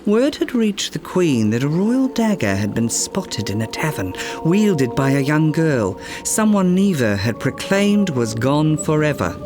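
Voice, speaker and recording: A male storyteller reads a children's book in a slightly high voice. His delivery is unhurried and full of melodic movement, in a polished storybook style. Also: very animated. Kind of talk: expressive scripted storybook narration. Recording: music behind the voice. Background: music.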